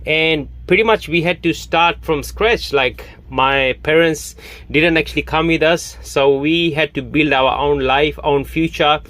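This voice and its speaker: A man, a Hindi speaker, telling his story to camera in a halting way with many fillers. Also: fairly high pitch, a bit bright. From Fiji. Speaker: online business promoter. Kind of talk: casual monologue to camera.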